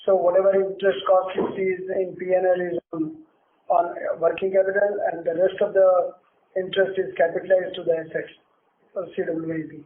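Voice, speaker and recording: A noisy, echoing phone line carries a man with an Indian accent and a high voice. He is a company executive fielding questions, plain-spoken and prone to repeating himself. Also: level tone, fairly quick. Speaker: company executive answering analysts. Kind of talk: earnings call answers.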